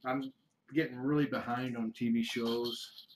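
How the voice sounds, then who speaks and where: A middle-aged man from the United States answering viewer questions on a casual livestream, rambling and halting with frequent restarts. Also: mid-range voice, little pitch movement, a little hiss or hum. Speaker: kitten foster livestreamer.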